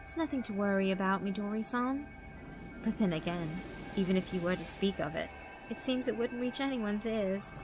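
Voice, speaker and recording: A woman's voice: mid-range voice, normal speed, music in the background, close to an everyday voice. A woman voicing a genteel male spirit in a visual novel, polite, drawling and smugly amused. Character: sly, genteel male tea-drinking supernatural gentleman.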